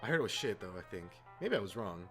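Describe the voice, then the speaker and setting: A man's voice: fairly low pitch, big pitch swings, quick pace, a bit bright. A man hosting a casual opinion rant, exasperated and informal, repeating words for emphasis. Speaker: YouTube host. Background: background music.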